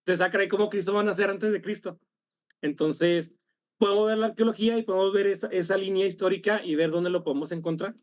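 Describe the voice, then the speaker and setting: A man leading an online study workshop, speaking haltingly, correcting himself and apologizing after coughs. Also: high-pitched, steady tone, dry recording, dull audio. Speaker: workshop leader.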